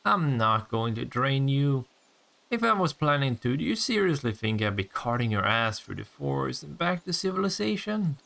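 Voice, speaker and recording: A man's voice: medium pitch, big pitch swings, vocal fry, fairly bright tone. Man playing a smug, teasing vampire, swooping intonation. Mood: menacing, flirtatious. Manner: sardonic, teasing, swearing, drawn-out taunts. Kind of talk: intimate audio roleplay acting.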